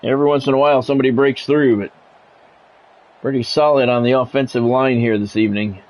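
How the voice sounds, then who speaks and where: A man's voice: medium pitch, fairly even intonation, fast talker, highs cut off. A man doing play-by-play commentary on a football video game in a conversational way, with wry asides. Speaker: play-by-play announcer.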